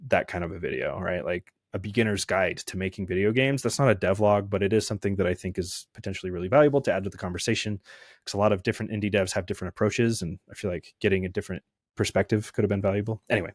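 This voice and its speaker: A man's voice: low voice, rapid-fire, no echo, clean studio sound. A young man, an indie game developer, talking casually in an interview and rambling with many fillers and restarts.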